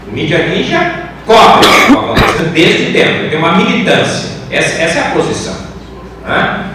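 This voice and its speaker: A man's voice: high voice, big pitch swings, brisk. A Brazilian man, a professor on a panel, explaining his points emphatically and didactically. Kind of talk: panel discussion answer. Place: large echoing room at a university event. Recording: very echoey room, distant mic, clear noise.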